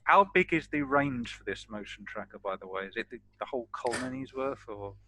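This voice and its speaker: A man with a bright, forceful voice and a mid-range pitch. He talks casually as a player in a tabletop game. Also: expressive, brisk, dry recording, rough recording.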